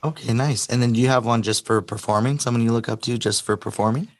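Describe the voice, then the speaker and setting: A man hosting a casual interview, his voice raspy as it comes back after being lost. He sits at a middle pitch, keeps an upbeat tone, and punctuates answers with short affirmations. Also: steady tone, fast. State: recovering from lost voice. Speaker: host.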